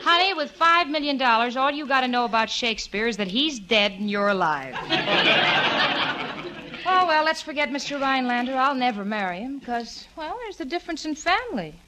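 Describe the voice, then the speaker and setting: A sensible, exasperated young woman in a 1940s radio sitcom. She speaks a little high, with dry sarcasm in her delivery. Clear noise runs through the vintage recording. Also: expressive, fairly quick, over a noisy crowd. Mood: wistful, exasperated.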